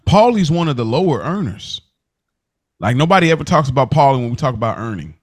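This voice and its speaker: A man's voice: medium pitch, sing-song, quick pace, dry recording. American man in slangy podcast talk, close dry sound. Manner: conversational, slangy, often repeats phrases to make a point. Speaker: podcast host. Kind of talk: casual podcast discussion of a TV series.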